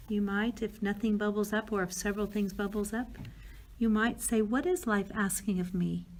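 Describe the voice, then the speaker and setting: A woman leading a guided yoga nidra meditation as a teacher, speaking in slow, soothing, unhurried phrases with pauses between them. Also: mid-range voice, steady tone, slight background noise.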